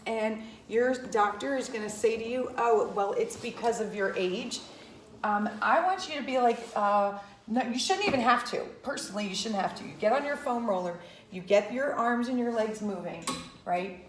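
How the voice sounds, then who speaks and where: A woman's voice: on the high side, moderate pace, slight background noise. Chatty fifty-year-old woman, echoey room. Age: about 50. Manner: chatty and rambling, with frequent restarts and asides. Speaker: Pilates instructor. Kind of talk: casual talking-to-camera vlog.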